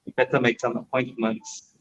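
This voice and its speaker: A man with a Korean accent, whose first language is Korean, answering briefly and softly in halting English as a salon owner. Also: mid-range voice, little pitch movement. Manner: soft-spoken, brief, halting broken English. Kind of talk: casual interview answers. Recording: muffled lo-fi live stream.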